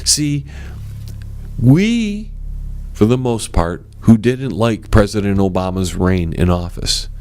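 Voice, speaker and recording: A man hosting his own talk show, with a strong voice, delivering a forceful, animated opinion monologue straight to his listeners. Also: dry recording. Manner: animated and forceful, swings up in pitch; addresses listeners as ladies and gentlemen.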